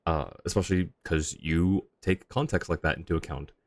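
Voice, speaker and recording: A man livestreaming a game with casual, thoughtful commentary as he chats with viewers, often pausing to find his words. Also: low voice. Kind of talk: casual live commentary, answering chat.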